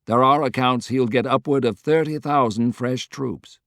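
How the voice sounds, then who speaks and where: A man's voice: medium pitch, moderate pace, a bit bright, no room sound, studio quality, voice pushed up, close to an everyday voice. Man playing an earnest, confiding political adviser. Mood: earnest briefing. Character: loyal, good-humored male political adviser, frank and measured.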